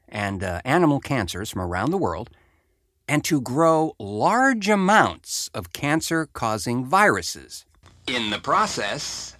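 A man's voice: mid-range voice, a bit bright, no room sound, studio quality. An American man hosting a show, reading a report aloud with wry, sardonic conversational asides. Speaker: podcast host.

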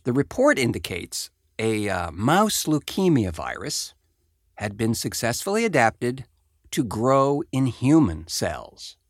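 A man's voice: mid-range voice, very animated, slow pace, no echo, clean studio sound. An American man hosting a show, reading a report aloud with wry, sardonic conversational asides. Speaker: podcast host.

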